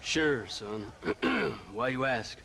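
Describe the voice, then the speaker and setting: A man's voice: medium pitch, very animated, drawn-out words, sharp tone, heavily acted. An actor, a man, playing a grumbling, world-weary government agent with an American Western accent in a game. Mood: weary, envious. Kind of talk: video game cutscene acting. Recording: clear outdoor ambience noise behind.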